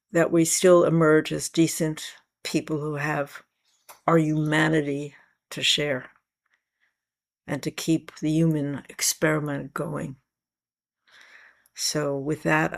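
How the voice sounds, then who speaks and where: A woman spiritual teacher with a scratchy voice today, giving a calm, measured, reflective talk to a meeting. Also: low-pitched, vocal fry.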